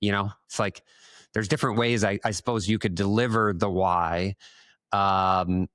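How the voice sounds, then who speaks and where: Very low-voiced man, halting delivery. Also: fairly even intonation, fairly bright tone, smooth, dry recording, studio quality. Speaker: podcast co-host asking questions. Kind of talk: casual business podcast conversation.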